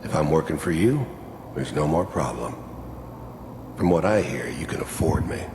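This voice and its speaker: Give this one a very deep, growling voice: a cold, weary killer for hire in a video game. He is terse and brooding, with bitterness under the words. The sound is roomy, with clear noise behind. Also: lively intonation, normal speed. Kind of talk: video game voice acting, reflective narration and dialogue.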